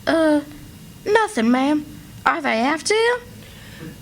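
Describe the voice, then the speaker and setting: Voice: high-pitched, very animated, takes it slowly, a bit bright, slight background noise, full character voice. A performer voicing a young boy posing as a meek little girl in a live radio play, with a folksy, faltering drawl. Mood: nervous, meek. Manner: folksy Southern rural dialect, faltering. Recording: stage radio play with music behind.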